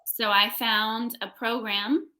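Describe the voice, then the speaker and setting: A woman's voice: a little high, fairly even intonation, takes it slowly, sharp tone. A young American woman tutoring English in a casual one-on-one conversation, phrasing things simply for a learner. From United States.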